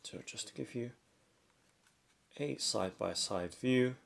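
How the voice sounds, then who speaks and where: A man giving a casual hands-on product demonstration, talking step by step in short, halting phrases. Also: mid-range voice, creaky. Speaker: product reviewer.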